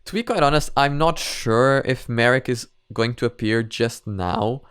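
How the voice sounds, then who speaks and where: A man's voice: mid-range voice, unhurried, fairly bright tone, clear, resonant tone, no room sound, studio quality. A man giving casual, off-the-cuff reaction commentary, thinking aloud in a hesitant, rambling way. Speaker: host of anime reaction videos.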